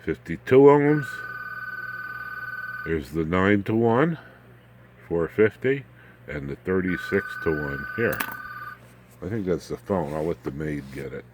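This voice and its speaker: A man giving an informal, unscripted electronics demonstration, haltingly, muttering asides as he works with his hands. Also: low voice, expressive, background noise. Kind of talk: informal unscripted technical show-and-tell.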